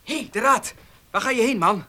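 An actor playing a desperate, hot-tempered young horse owner in a Dutch radio play. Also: male voice, very high-pitched, a little fast, exaggerated voice. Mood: urgent, angry. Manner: urgent, forceful. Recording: noisy old radio play recording.

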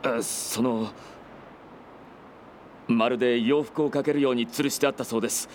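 An actor playing a junior police detective in a radio drama, giving an urgent report. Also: male voice, mid-range voice, fairly even intonation, moderate pace, darkish tone, slight background noise, natural voice. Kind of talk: Japanese radio drama acting.

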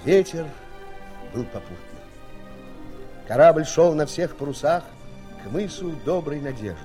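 An actor telling a sea adventure tale as the theatrical narrator of an audio play. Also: male voice, on the high side, expressive, a little slow, mellow. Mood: dramatic storytelling. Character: storyteller of a sea tale, doubling as ship's captain. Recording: vintage mono record, music behind.

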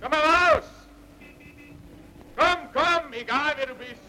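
A belligerent man in a radio thriller, his voice pushed very high and piercingly sharp. He calls out loud, agitated taunts over faint background noise. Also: takes it slowly, exaggerated voice. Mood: taunting, angry. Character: agitated man shouting challenges at a lurker in the dark. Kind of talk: radio crime drama acting.

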